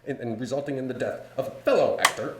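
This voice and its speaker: A man's voice: medium pitch, quick pace, lightly acted. A man playing an old-time radio announcer and narrator, reading the script in a dramatic, emphatic way. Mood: grave. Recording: faint noise in live studio.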